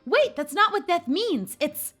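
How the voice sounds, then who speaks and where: A woman's voice: very high voice, sing-song, a little slow, fairly bright tone, soft background music, pitched above the performer's own voice, heavily acted. A woman voicing a nervous, earnest young magician's apprentice in a fantasy game. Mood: flustered.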